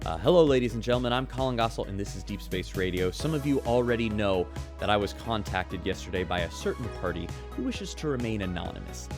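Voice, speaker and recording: A man's voice: fairly low pitch, expressive, quick pace, few pauses, music in the background. A man as a chatty, upbeat radio show host. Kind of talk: scripted radio drama host.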